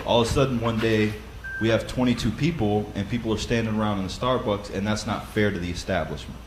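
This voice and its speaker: A man with a fairly low, loud voice talks through practical advice like a teacher walking a class through it. He speaks conversationally, often pausing on fillers and restarting phrases. A faint hum of background noise sits under the recording. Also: poor audio. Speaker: Bible study teacher. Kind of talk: instructional talk.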